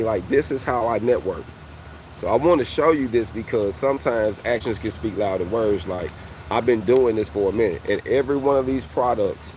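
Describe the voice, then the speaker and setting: A man in his forties talking to his viewers as a welding coach, in a slightly low voice. He speaks in a loose, conversational way and keeps calling his audience "fam". Faint street noise can be heard behind him. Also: darkish tone, low-quality recording. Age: 40s. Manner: conversational, repeats "fam" and "you know what I mean". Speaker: welding coach and channel host. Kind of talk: casual motivational talk to viewers.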